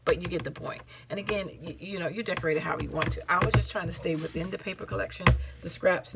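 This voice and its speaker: A woman with a low, gravelly voice talks casually through her crafting projects. She sounds tired and a little raspy from the late hour, wandering off track and correcting herself as she goes. A faint noise sits under the recording. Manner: rambling, self-correcting, chatty with fillers. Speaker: crafting channel host. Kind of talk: casual show-and-tell crafting chat.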